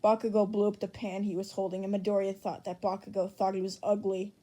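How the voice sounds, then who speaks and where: A woman's voice: mid-range voice, little pitch movement, fast, warm tone. A young woman reading fan fiction aloud as narrator in an amateur audiobook style.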